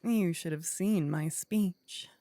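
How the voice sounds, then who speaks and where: A woman's voice: fairly low pitch, takes it slowly, warm tone, slight background noise. A woman voicing a possessive, teasing demon queen in a fantasy ASMR audio roleplay, theatrical and gloating. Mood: teasing, fond.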